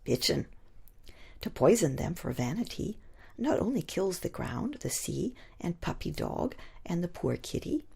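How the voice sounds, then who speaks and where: A woman poet reciting her own verse expressively, with a husky, breathy, gravelly voice and theatrical, deliberate emphasis on the rhymes. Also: sits low, darkish tone. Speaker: poet reading own work.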